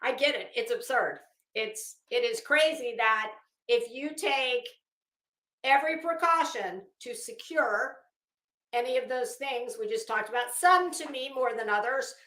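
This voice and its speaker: Woman, higher-pitched voice, casual livestream commentary, blunt and sardonic, roomy echo. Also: a little slow, sharp tone. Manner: blunt, sardonic, salty-tongued. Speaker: livestream host. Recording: echoey room.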